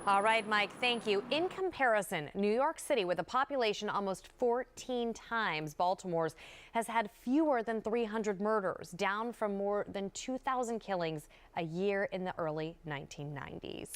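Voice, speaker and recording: Mid-pitched woman TV news anchor. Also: expressive, moderate pace. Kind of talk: scripted newscast reading.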